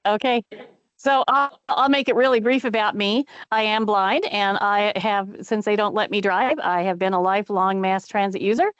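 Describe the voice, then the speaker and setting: A woman's voice: mid-range voice, steady tone, moderate pace, a bit bright, dry recording, highs cut off. Older woman chairing a call, dry sound. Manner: chatty, friendly, with fillers. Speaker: committee chair. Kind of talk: meeting introductions.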